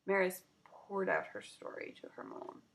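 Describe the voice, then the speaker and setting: Woman, story narration, expressive, animated intonation, creaky voice. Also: on the low side, measured pace.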